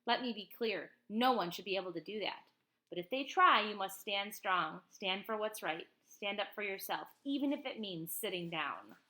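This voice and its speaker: A woman voicing a calm, resolute woman activist who tells her life story in a picture book, earnest and emphatic. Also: mid-range voice, moderate pace. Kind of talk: first-person picture-book narration.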